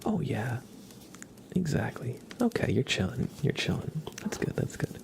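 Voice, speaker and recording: A young man hosting a relaxed ASMR livestream, mostly whispering and speaking softly in a reassuring, encouraging way. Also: mid-range voice, measured pace, vocal fry. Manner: soft-spoken, mostly whispering. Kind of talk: relaxed ASMR, chatting with viewers and reading tarot cards.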